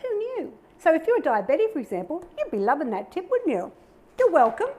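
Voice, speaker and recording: A woman's voice: very high-pitched, wildly swooping pitch, brisk, darkish tone. A middle-aged Australian woman hosting a cooking show, chatting in a bubbly, upbeat way while she demonstrates a recipe.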